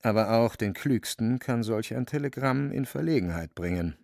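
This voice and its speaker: A man narrating a literary radio play in a measured, wry manner. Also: mid-range voice, lively intonation, gravelly, a little mellow, dry recording, clean studio sound. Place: studio, very dry.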